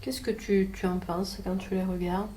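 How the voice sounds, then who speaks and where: A woman hypnotherapist leading a session at a patient pace and gently echoing her client's words back. Her tone is mellow and dark. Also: medium pitch, flat delivery, moderate pace, a little hiss or hum. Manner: gentle, patient questioning, echoes the client's answers. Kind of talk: guiding a hypnosis session with questions.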